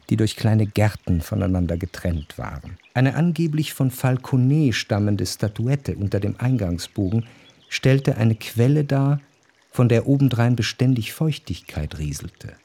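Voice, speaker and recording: An actor playing a reflective, wryly observant narrator in a literary radio drama, speaking in a measured, articulate way. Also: male voice, mid-range voice, warm tone, breathy edge. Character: reflective, observant male narrator of society life. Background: faint music.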